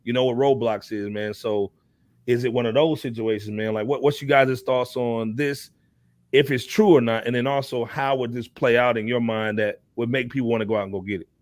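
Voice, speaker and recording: A man's voice: mid-range voice, fairly even intonation, brisk, no echo. An American man hosting a podcast, chatting casually about hip hop in slangy, conversational speech. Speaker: podcast host.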